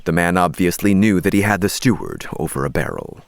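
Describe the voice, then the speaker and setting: A man narrates a story as an audiobook reader. His voice is low and creaky, with highly animated intonation. The recording is close and dry. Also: brisk, no room sound.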